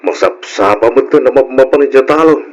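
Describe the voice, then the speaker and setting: An actor playing an older, easygoing, wry father in an old radio drama, with an animated bantering manner. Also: mid-range voice, a little fast, highs cut off, lightly acted. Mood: wry, amused.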